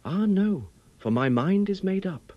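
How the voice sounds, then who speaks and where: A man's voice: high voice, lively intonation, unhurried, lo-fi sound, voice pushed up. A man voicing a brave, sorrowful young married woman in a storybook, with solemn, plaintive, formal Victorian speech. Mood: resolute, earnest. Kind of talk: dramatic audiobook character reading.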